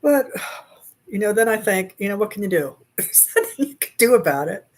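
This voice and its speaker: A woman's voice: medium pitch, sing-song, normal speed, a little mellow. A talkative woman in her sixties chats easily through a webcam in a small room at home. She laughs often and answers her viewers' comments while she crochets. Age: 60s. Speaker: crochet livestream host. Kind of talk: casual chat while crocheting. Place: small bedroom at home, indoors. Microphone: webcam at desk.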